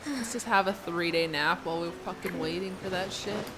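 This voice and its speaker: A woman's voice: on the low side, moderate pace. A woman giving casual, sarcastic, sweary commentary on a game she is playing. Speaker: gamer commenting on a playthrough. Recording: game music behind voice.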